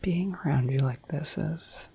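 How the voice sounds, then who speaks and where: A woman voicing a shy, affectionate dorky dragon in an ASMR roleplay, speaking in a gentle, hesitant, breathy whisper. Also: deep voice, measured pace, exaggerated voice. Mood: shy, sincere. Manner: gentle, hesitant, slightly bashful, intimate.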